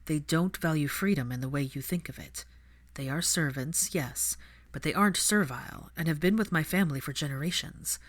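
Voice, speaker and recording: Female reader as young male aristocrat, low pitch, dry, measured, patient, wry, audiobook fiction. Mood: calm, matter-of-fact. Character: patient, wry, aristocratic young father, liberal yet rigid.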